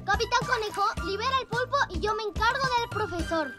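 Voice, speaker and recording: Boy rabbit superhero, very high voice, bossy, exclaiming, Latin American Spanish cartoon, loud music. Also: animated, fairly quick. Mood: bold. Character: bold confident young boy rabbit superhero. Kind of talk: children's cartoon dub acting.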